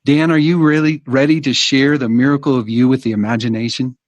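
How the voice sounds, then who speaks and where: A man's voice: medium pitch, steady tone, fairly quick, no echo. A man hosting a podcast, enthusiastic and upbeat as he asks his guest questions. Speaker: podcast host. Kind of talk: casual podcast interview.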